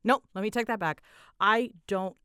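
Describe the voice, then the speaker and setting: A woman's voice: mid-range voice, moderate pace, sharp tone, clear, resonant tone, no room sound, clean studio sound. A woman chatting casually and animatedly as she answers listener questions, with a giggly, self-deprecating manner. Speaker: hobbyist audio roleplay creator answering listener questions.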